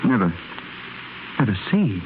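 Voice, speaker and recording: Earnest, troubled young man, low halting voice with wide swings, old muffled radio drama. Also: very animated. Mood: anguished, remorseful. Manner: halting, stammering. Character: guilt-ridden, earnest wealthy young man. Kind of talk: 1930s radio drama acting. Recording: muffled, noisy 1930s radio recording.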